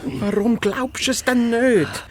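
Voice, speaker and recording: An actor playing an anxious, suspicious little hedgehog detective sidekick in a children's fairy-tale radio play, fretful and full of doubt. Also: male voice, extremely high pitch, fairly even intonation, takes it slowly, a little hiss or hum. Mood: frightened, insistent.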